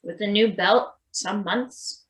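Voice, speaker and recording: A woman's voice: medium pitch, takes it slowly, fairly bright tone. A woman acting a worried, questioning maintenance worker in a read-through of a satirical stage play. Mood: matter-of-fact. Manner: hesitant, questioning. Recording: echoey room sound.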